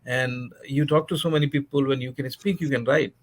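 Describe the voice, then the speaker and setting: A man's voice: mid-range voice, fairly even intonation, brisk. A middle-aged man from India, a native Hindi speaker, talking as a guest author in an interview, earnest and full of restarts and fillers. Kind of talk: interview conversation.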